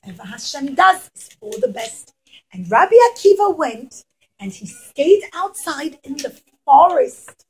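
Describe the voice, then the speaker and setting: A woman's voice: high-pitched, big pitch swings, unhurried, rough recording. A woman storyteller teaching young children through an interactive religious tale, emphatic and repeating phrases for effect.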